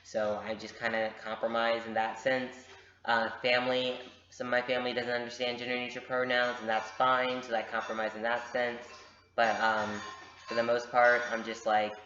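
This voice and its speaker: Young man, casual vlogger, direct and unfiltered, mid-pitched, slightly muffled home recording. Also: completely flat delivery, normal speed, bright, forceful tone, echoey room. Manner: frank, direct, many fillers. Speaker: vlogger answering viewer questions. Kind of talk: casual Q&A vlog. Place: home room with a pet bird. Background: bird noises.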